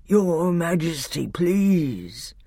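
A narrator puts on an elderly male bear from a children's storybook. The voice is thick and drowsy, drawn out slowly. He sounds simple, polite and good-natured. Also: medium pitch, sing-song, mellow, slightly rough, no echo, clean studio sound, pitched above the performer's own voice, full character voice. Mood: humble, sleepy. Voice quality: thick, sleepy voice. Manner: slow, humble, plodding.

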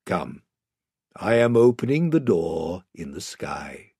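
Storybook lion played by a male narrator: majestic and gentle, low breathy voice, slow grave delivery, dry sound. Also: sing-song, no room sound, heavily acted. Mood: tender, grave.

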